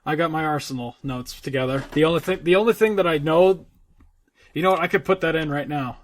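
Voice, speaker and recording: A man with a somewhat high voice co-hosts a casual gaming podcast. He talks in a loose, informal way and swears freely. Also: a little fast. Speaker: podcast co-host.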